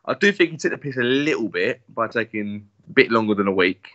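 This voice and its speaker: A man's voice: medium pitch, lively intonation, fairly quick, fairly bright tone, dry recording, dull audio. A man from England co-hosting a casual football podcast, rambling and restarting his sentences. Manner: rambling, full of fillers and restarts. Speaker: podcast co-host.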